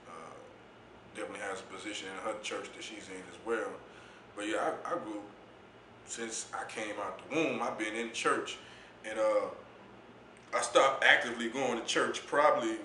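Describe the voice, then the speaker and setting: Man, deep voice, low-pitched, laid-back interview guest, echoey room. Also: sharp tone, a little hiss or hum. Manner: laid-back, reflective, says "you know what I'm saying" often. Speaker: interview guest, poet and youth mentor. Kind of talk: casual interview talk.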